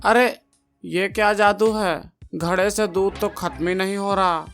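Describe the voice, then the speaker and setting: A man's voice: high voice, steady tone, unhurried, a bit bright, faint music behind. A man voicing a sly, eager village henchman in a moral-story cartoon, servile and conspiratorial toward his boss. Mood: sly, amazed.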